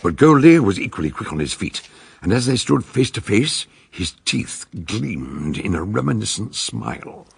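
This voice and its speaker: An actor playing an eccentric older detective, narrating a radio drama in a gravelly voice with measured, theatrical storytelling. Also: male voice, on the low side, very animated, heavily acted. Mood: dramatic narrating. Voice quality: very husky, gravelly. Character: eccentric older male detective recounting a case.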